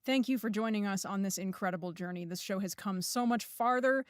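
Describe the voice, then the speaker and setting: A woman's voice: medium pitch, fairly quick, no echo, studio quality. A woman speaking as the creator and host of a fiction podcast, addressing and thanking listeners. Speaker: writer, director, producer and voice actor of an audio drama. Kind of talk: podcast introduction and patron thanks.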